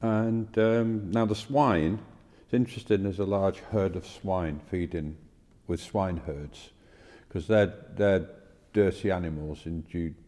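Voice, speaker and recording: A man co-hosting a podcast, reading and discussing scripture in a halting, tentative way. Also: sits low, fairly even intonation, warm tone. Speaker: podcast co-host. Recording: reverberant room with long echo.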